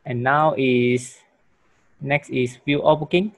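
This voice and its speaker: A young man stumbles through a software demo, restarting words and filling gaps with "uh". His voice sits in a middle range for a man. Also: medium pitch, steady tone, slow pace. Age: young adult. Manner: stumbling, many restarts and fillers. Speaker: student presenter.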